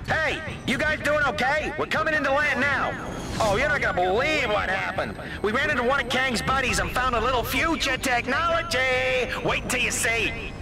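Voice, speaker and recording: An actor voicing an excitable, chatty male pilot in a video game, eager and upbeat. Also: very high-pitched, moderate pace. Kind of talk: video game cutscene acting. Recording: loud music behind the voice.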